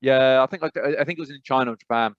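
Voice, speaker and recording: A man with an English accent co-hosting a podcast, rambling and animated, with emphatic repetitions. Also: mid-range voice, very fast, lo-fi sound. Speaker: podcast co-host. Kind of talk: casual chat.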